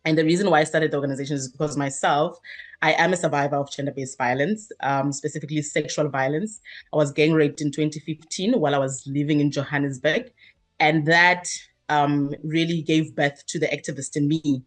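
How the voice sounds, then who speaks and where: Woman, thirties, low voice; earnest interview guest who talks at length with fillers. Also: steady tone, brisk. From South Africa. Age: 30s. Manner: earnest, expansive, many fillers and restarts. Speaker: guest, activist. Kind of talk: interview answers.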